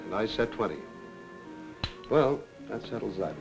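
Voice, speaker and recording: An actor playing a suave, cynical blackmailer in a 1940s film noir, smooth-talking and mocking, with an American accent. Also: male voice, fairly low pitch, animated, unhurried, over music, low-quality recording. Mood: hard, threatening. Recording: 1940s film soundtrack with score behind.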